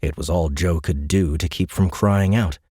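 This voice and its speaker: A man with a low voice narrates fiction for an audiobook. His delivery is dramatic, scripted storytelling. The recording is close and dry, free of room sound. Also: normal speed, dark tone, slightly rough, no room sound.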